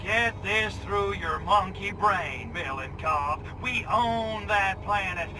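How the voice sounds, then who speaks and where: An actor playing a cocky, crude, wisecracking alien invader in a video game cutscene, brash and sarcastic. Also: male voice, sits high, slow pace, noisy background. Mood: boastful, defiant.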